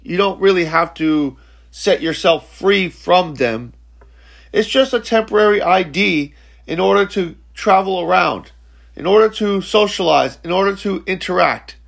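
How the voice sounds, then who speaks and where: Slow, gravelly man in his forties. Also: sits high, a bit bright. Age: 40s. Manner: halting, repeats words, frequent "okay?". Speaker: spiritual speaker. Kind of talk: slow reflective teaching talk.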